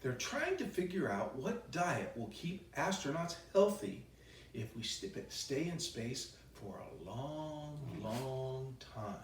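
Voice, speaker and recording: A man reads a children's story aloud with lively, wide-swinging intonation. His delivery is playful and expressive, slipping easily into chatty asides. The voice sits at a middling pitch and comes through a roomy echo, set back from the microphone. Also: slow pace, distant mic, slight background noise. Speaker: children's author reading his own book. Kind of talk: animated bedtime story read-aloud.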